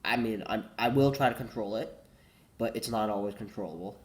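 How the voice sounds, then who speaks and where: A man's voice: lowish voice, a little fast. Congested young man rambling casually. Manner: rambling, self-correcting, says "like" a lot. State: congested with a cold, coughing. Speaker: vlogger.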